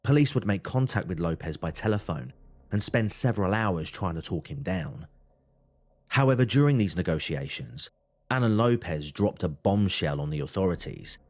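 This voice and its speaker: A man's voice: low voice, lively intonation, normal speed. A man narrating a true-crime documentary from a script.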